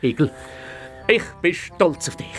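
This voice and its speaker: Performer as bossy fox inspector, Swiss German, children's audio drama. Very animated intonation, pompous, easily tongue-tied. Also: medium pitch, a little slow, soft background music, natural voice. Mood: smug, lecturing. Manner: pompous, commanding, often stammering when flustered. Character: bossy, self-important fox police inspector, male. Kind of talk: children's radio play acting.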